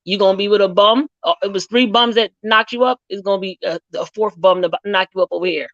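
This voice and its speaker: Gravelly woman panelist on muffled audio. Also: mid-range voice, fast talker, fairly bright tone, dry recording. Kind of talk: casual opinionated discussion.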